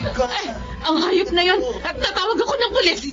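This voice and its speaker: Music plays behind a high, dramatically swinging female voice with a Filipino accent. an emotional middle-aged mother in a radio drama, headstrong and in love. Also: lively intonation, normal speed. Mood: furious, betrayed. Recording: dull lo-fi audio under clear music.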